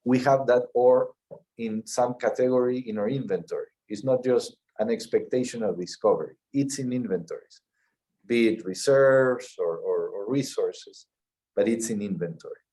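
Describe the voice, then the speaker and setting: A middle-aged man from Peru with a Peruvian Spanish accent, answering interview questions in a measured way, often restarting and repeating words. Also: mid-range voice, dark tone, poor audio. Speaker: mining company CEO.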